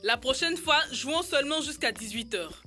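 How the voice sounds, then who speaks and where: Earnest young cartoon girl: high, bright delivery, sensible and instructive. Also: animated, moderate pace, faint music behind. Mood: earnest, pleased. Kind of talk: French-dubbed educational cartoon acting.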